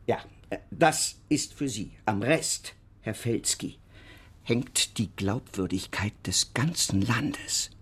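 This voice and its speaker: Husky, officious government man. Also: lowish voice, animated, takes it slowly, fairly bright tone, heavily acted. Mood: grave, insistent. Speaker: actor playing an official. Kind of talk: radio crime drama dialogue.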